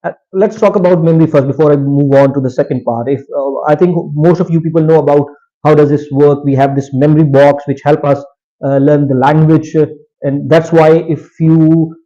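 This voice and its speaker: Halting Pakistani man with a mellow voice. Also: fairly high pitch, lo-fi sound. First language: Potohari Punjabi and Urdu. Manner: halting, hesitant second-language English with self-corrections. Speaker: presenter. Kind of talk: informal lecture, partly reading research aloud.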